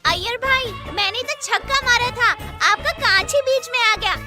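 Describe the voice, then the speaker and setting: A childlike, playful cartoon mum. The voice is extremely high and squeaky, naive and pleading, over loud children's music. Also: normal speed. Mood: sheepish, pleading. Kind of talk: animated comedy cartoon dialogue.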